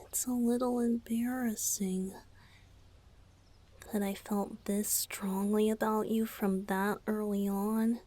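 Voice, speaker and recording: A woman voicing a shy, flustered boyish lover in an intimate ASMR romantic roleplay, speaking softly and breathily with hesitant, halting phrasing. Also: mid-range voice, little pitch movement. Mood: embarrassed, soft. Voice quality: soft, breathy, often whispered.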